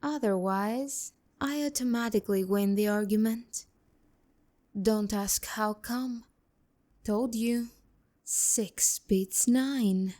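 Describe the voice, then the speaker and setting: A woman's voice: fairly high pitch, slow pace, dark tone. A woman playing a haughty, seductive demon courtesan in a romantic ASMR roleplay, speaking to the listener with condescending, teasing bite. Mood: taunting, smug. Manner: condescending, teasing, biting insults.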